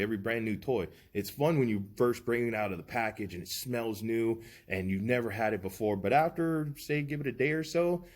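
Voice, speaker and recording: A man in his early thirties talking earnestly and reflectively as a podcast co-host, giving motivational advice. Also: lowish voice. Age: 30s. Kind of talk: casual podcast chat.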